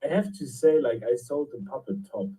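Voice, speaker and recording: A man's voice: medium pitch, a little fast, warm tone, distant mic, rough recording. A man from Scotland chatting casually, hesitant with many restarts as he tells a story. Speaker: guest from the lending team. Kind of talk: casual chat.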